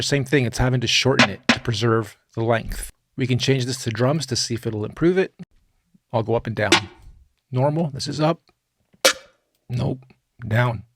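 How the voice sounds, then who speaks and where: A man narrating a software tutorial in an informal, opinionated way, like a music production teacher talking through his steps. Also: medium pitch, dry recording, clean studio sound.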